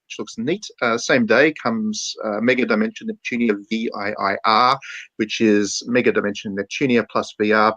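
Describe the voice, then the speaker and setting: A man's voice: lowish voice, sharp tone, no echo. A man hosting a podcast, chatting casually about video games in a blunt, slangy, informal way. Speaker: podcast host. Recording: dull, lo-fi podcast audio.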